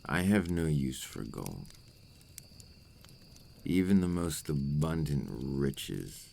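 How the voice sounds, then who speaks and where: A man's voice: a bass voice, gravelly, a little mellow, slight background noise. A man playing a possessive, remorseful vampire captor in an intimate ASMR roleplay monologue, speaking in formal, old-fashioned phrases.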